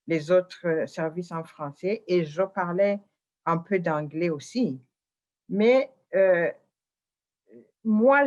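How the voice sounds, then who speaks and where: A woman's voice: lowish voice, dull audio. A woman speaking as a guest on a radio forum discussion, searching for words with frequent fillers. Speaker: panel guest.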